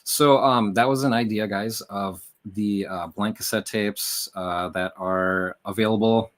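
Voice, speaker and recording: A man with a fairly low voice hosts a livestream for resellers. He explains things casually and conversationally, addressing the viewers directly as he goes. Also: steady tone, a little slow.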